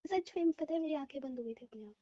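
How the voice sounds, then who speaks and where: A woman's voice: high voice, lively intonation, fast, dark tone. A young woman on a phone call, giggling, coy and teasing in casual chat. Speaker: caller. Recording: dull lo-fi phone call recording.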